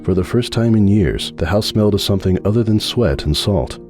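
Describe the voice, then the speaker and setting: A man giving a dramatic reading of a fan story, narrating in a measured, brooding way. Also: fairly low pitch, expressive, music in the background. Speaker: audiobook narrator.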